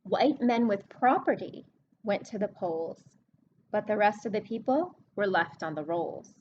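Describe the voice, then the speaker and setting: A woman librarian reading a picture book aloud to children, expressive and explanatory, pausing to speak to her young listeners. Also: medium pitch, highs cut off, a little hiss or hum. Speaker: children's librarian hosting story time.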